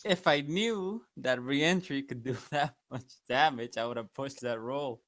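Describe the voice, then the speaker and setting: A man's voice: medium pitch, a little slow, fairly bright tone, no echo. A man chatting casually as a player in a tabletop role-playing game. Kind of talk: casual chat.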